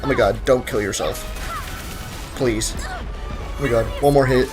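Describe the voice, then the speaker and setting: A man's voice: medium pitch, steady tone, measured pace. A young man, chatting casually with his live-stream audience while playing a video game. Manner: chatty, greets chat, talks to himself while playing. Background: faint game music.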